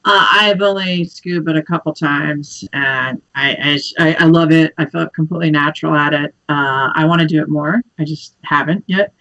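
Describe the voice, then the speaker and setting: A woman in a casual live-stream chat, sharing a personal story with some halting. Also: deep voice, a bit bright, highs cut off.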